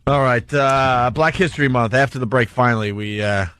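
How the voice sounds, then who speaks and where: A man's voice: mid-range voice, moderate pace, noisy background. A man hosting a radio talk show, chatting casually and fielding callers and topics.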